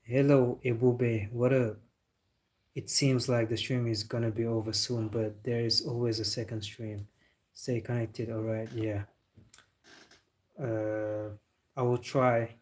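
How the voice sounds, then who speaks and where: A man's voice: medium pitch, level tone, slow pace, a little mellow. A young man hosting a football live stream, chatting casually with viewers in a loose, laid-back way. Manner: loose and chatty, calls viewers bro, talks with a laugh.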